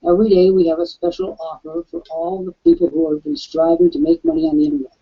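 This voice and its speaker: A raspy-voiced man hosting a live webcast and pitching an offer, emphatic like a salesman. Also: big pitch swings, fast talker. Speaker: webcast host. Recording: dull lo-fi webcast audio.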